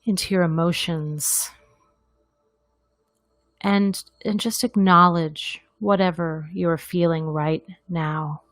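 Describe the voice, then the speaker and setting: A woman guides a meditation in a gentle, deliberate way. Her pace is very slow, with long pauses, and her voice sits a little low. The sound is dry and close, with little room around it. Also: steady tone, no room sound.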